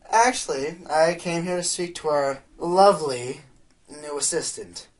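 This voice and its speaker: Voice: mid-range voice, measured pace, lightly acted. Theatrical, scheming pony inventor, voiced by an actor. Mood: probing, sly. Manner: theatrical, hesitant fillers amid boasting. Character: excitable scheming pony inventor, self-important amateur spy. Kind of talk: amateur radio play acting.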